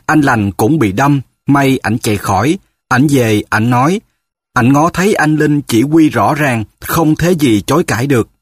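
A man's voice: medium pitch, measured pace, slightly rough, dry recording, clean studio sound, pitched above the performer's own voice. A man with a Southern Vietnamese accent voicing a young daughter who tearfully and urgently reports bad news to her father. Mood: tearful panic. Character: young daughter, sobbing and frantic.

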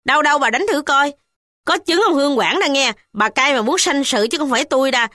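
A woman's voice: mid-range voice, animated, measured pace, a little mellow, no echo, clean studio sound, close to an everyday voice. Give me a blunt, defiant village man, read by a woman, speaking plainly and firmly.

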